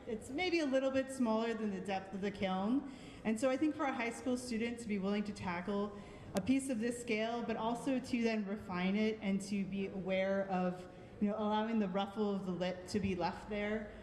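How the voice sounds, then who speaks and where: A woman's voice: a little high, a little mellow. A woman with a Boston accent giving a friendly, thoughtful live critique of art pieces, with frequent ums. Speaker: art show juror. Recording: echoey hall with long reverb.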